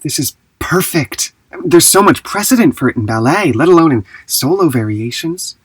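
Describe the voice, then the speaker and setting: A woman playing an earnest, fastidious young ballet dancer in an audio drama, husky and prim. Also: sits low, lively intonation, no room sound, heavily acted. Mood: pleased.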